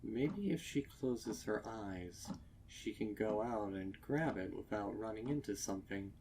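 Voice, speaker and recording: A man reading a scripted dark story as narrator, in a measured, deliberate and suspenseful way. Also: on the low side, lively intonation, a little hiss or hum. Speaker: narrator of a horror fan fiction reading.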